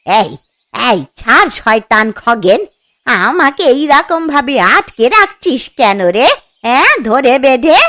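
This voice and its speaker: A woman's voice: high voice, expressive, slow, bright, forceful tone, exaggerated voice. A woman playing a poor, feisty elderly village mother-in-law in a cartoon story, with a plaintive, homely manner. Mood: indignant, distressed. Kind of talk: Bengali cartoon story acting.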